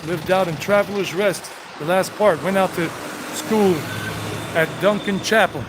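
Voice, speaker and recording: A talkative man rambles through a casual walking tour outdoors on a town street, trading jokes with a companion and calling out to people he passes. His voice sits a touch higher than most men's, and a faint hum of traffic runs underneath. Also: unhurried. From South Carolina, United States. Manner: chatty, rambling, jokey, talks to companions and passersby. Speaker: tour guide vlogger.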